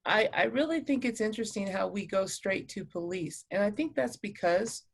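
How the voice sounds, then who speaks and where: Middle-aged woman, medium pitch, earnest, emphatic, measured, panelist on a video call. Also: lively intonation, creaky, slightly rough. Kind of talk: spoken reflection in a live online discussion.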